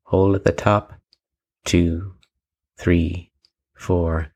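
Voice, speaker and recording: A man leading a guided meditation with calm, measured instructions and long pauses between phrases. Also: sits low.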